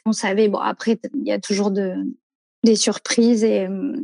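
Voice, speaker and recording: A French woman in her thirties, telling about family travels in a relaxed, rambling way with many fillers and self-corrections. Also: medium pitch, normal speed, gravelly, dry recording. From France. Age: 30s. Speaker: guest. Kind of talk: casual conversational interview.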